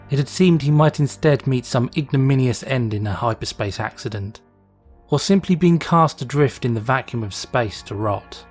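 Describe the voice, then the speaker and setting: Male narrator for dramatic fiction reading, mid-low everyday pitch range, soft music bed underneath. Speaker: fan fiction reader, narrator. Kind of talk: dramatic scripted story narration.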